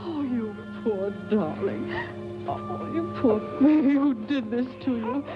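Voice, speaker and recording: A woman's voice: sits high, takes it slowly. An actress playing a tender, protective woman in the acting style of a 1940s film. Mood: distraught, tender. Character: protective, tender woman comforting a frightened boy. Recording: muffled old film soundtrack with music.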